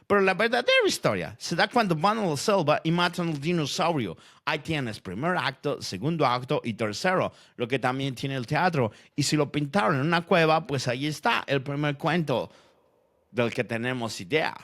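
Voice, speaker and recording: A man plays a logical-minded debater with a fairly high male voice. He explains things step by step in an educational audio drama, addressing listeners as friends. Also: animated, normal speed, fairly bright tone. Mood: explanatory. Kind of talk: scripted educational audio fiction narration.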